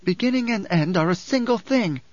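A male narrator acts out a young priestess in a storybook epic, pitched well up. She is imperious and mystical, declaiming in an incantatory way. The sound is dry and slightly dull. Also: measured pace, no room sound. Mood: sharp, angry.

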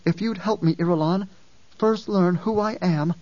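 A man's voice: sits high, normal speed, a little mellow, dry recording, voice pushed up, natural voice. A man voicing a fierce young girl who carries ancient memories, speaking with grave intensity in an audiobook. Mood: anguished intensity. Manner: intense, grave, sometimes pleading. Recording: dull, distant, dry sound.

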